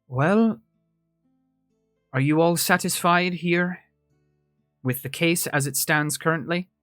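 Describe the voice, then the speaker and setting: A man's voice: fairly high pitch, slow, fairly bright tone, no echo, faint music behind. A man voicing a dry, wry, seasoned female law officer in a tabletop game. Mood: reassuring.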